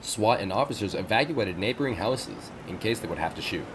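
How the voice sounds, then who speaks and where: Low-voiced man reading scripted news. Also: animated, brisk, background noise. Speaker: news reporter.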